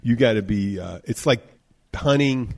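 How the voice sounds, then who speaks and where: A man's voice: mid-range voice, a little slow, creaky, darkish tone. Rambling fifties male podcast host. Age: 50s. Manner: rambling, acts out quoted voices. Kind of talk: casual chat.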